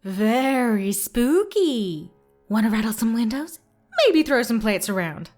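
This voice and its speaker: A woman's voice: fairly high pitch, big pitch swings, slow, a little mellow, soft background music. Kaya, a woman as a dry-witted ghost hunter. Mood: mocking. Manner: dry, mocking taunts. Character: wry young female ghost hunter, unflappable. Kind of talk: fan audio drama.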